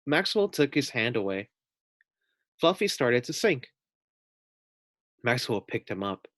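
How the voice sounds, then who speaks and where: A man reading a children's storybook aloud as a teacher, with deliberate, clear phrasing. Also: medium pitch, very animated, a bit bright, no echo, clean studio sound.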